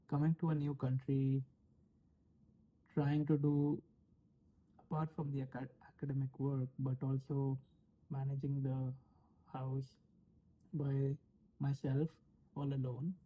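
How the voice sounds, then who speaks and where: A man in his late twenties from India speaks with an Indian accent. His voice is very warm and dark, and he answers conversationally in measured, broken-up phrases. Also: mid-range voice, flat delivery, poor audio. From Delhi, India. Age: late 20s. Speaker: panel guest, analytics manager. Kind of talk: conversational self-introduction and answers.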